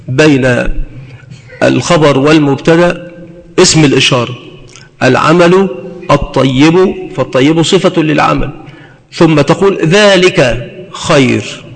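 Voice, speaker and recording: A man's voice: fairly high pitch, gravelly. A man lecturing as a grammar teacher, in a didactic manner that repeats phrases for emphasis. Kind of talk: lecture explaining grammar with examples. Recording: long hall reverb.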